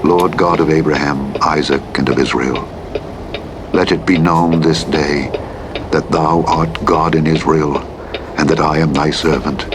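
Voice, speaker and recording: A man with a very low voice reads scripture as a solemn narrator. His delivery is measured and declamatory. Clear truck engine noise runs behind him. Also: steady tone. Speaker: audiobook narrator. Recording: clear vehicle noise over a radio playback. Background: truck engine and driving noise from a simulator game.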